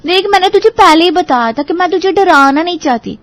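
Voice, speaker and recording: A woman's voice: very high voice, lively intonation, brisk, dry recording. A woman playing a young married friend who is blunt, cynical and emphatic in her warnings, in an audio story drama. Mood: blunt, dismissive.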